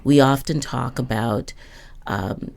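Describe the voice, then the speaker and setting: A woman's voice: rumbling low, takes it slowly, vocal fry, darkish tone. A woman hosting a conversational interview, reflective and probing, building her questions gradually. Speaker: host, interviewer.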